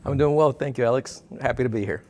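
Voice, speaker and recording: A man's voice: medium pitch, fast, dark tone. A middle-aged man from South Texas answering interview questions in a TV studio, thoughtful and full of "uh" and "um" fillers. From South Texas border region. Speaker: interview guest, dean of students. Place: TV news studio set. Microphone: lapel mic.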